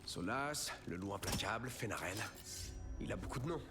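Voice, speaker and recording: A man's voice: fairly low pitch, animated, normal speed, music in the background, lightly acted. An actor playing a thoughtful male companion in a dubbed fantasy adventure game. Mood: solemn.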